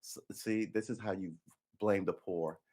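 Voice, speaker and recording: A man's voice: deep voice, steady tone, a little slow, dark tone. A man, reading an article aloud on a live stream and breaking in with sarcastic, profane, heated asides. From New York City. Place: indoor room by a window.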